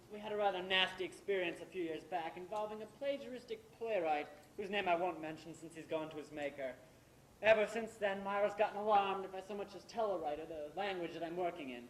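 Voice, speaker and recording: A man's voice: sits high, lightly acted. A man acting an older, established playwright in an amateur stage play, sly and condescending, with a theatrical, faux-genial delivery. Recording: echoey stage recording, faint noise.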